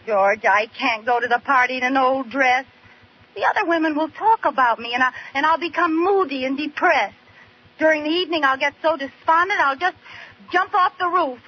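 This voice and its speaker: An actress plays a scheming American housewife from a vintage radio comedy. Her voice sits high and she pleads with theatrical exaggeration. The old recording is dull, with light noise. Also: normal speed, lightly acted. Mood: melodramatic pleading. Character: scheming, dramatic, wheedling young housewife in radio sitcom. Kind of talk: 1940s-50s radio comedy acting.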